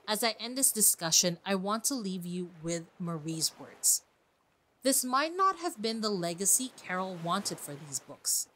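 A woman's voice: mid-range voice, fairly bright tone, poor audio. A woman hosting a podcast, reading a prepared script in an informal, conversational way with chatty asides. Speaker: podcast host.

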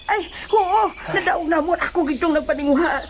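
Man acting a high-pitched boastful silly adventurer. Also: moderate pace, a little hiss or hum. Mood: boastful, teasing. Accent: Ilonggo Filipino. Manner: bragging, giggly, chatty. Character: boastful, silly, excitable male adventurer sidekick. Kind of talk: comedic audio drama acting.

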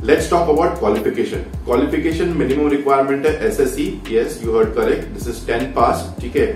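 A man's voice: mid-range voice, darkish tone. Man explaining cruise jobs, mixes Hindi and English, echoey room, mic set far back, faint music underneath. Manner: mixes Hindi and English, repeats "ठीक है" and "आपको बताना चाहूंगा". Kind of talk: informal instructional talk to camera. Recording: roomy echo, distant mic, faint music behind.

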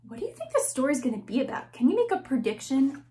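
Casting: young woman teacher, bright high voice, lively kids' storytime delivery. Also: quick pace. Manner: animated, gasping, questions to young listeners. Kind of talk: children's read-aloud. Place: small classroom-style room indoors.

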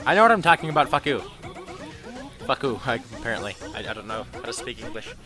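A young man riffing his way through a game, crude, rambling and self-mocking, his voice jumping into loud outbursts. His pitch sits in the middle range. Loud dubstep game music pumps underneath him. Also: lively intonation, quick pace. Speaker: gaming commentator. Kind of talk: casual let's-play commentary. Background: electronic dubstep game music.

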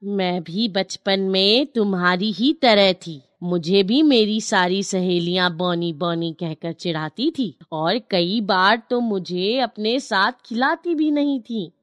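A woman voicing a short, kindly, sorrowful witch ghost in a cartoon story, speaking in a measured, reassuring way. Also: medium pitch, no echo, natural voice. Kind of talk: Hindi cartoon story acting.

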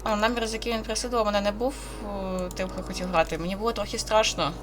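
Troubled young girl, read by a woman, anxious, earnest, dramatic, horror visual novel, soft music behind. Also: medium pitch, steady tone, very fast, natural voice. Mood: frightened, defensive.

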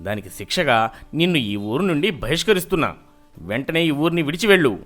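A man's voice: on the high side, big pitch swings, brisk, a bit bright. Man playing stern, accusing village headman. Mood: angry, accusing. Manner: accusing, commanding. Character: stern, angry male village headman, quick to blame. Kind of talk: cartoon moral story dialogue. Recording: music behind the voice.

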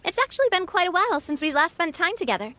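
A woman's voice: very high-pitched, very animated, fast talker, pushed and bright, a little hiss or hum, heavily acted. An actress playing a gracious, confident young lady boss in a video game, elegant and sincere, with music behind her. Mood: warm, celebratory. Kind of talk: video game character acting.

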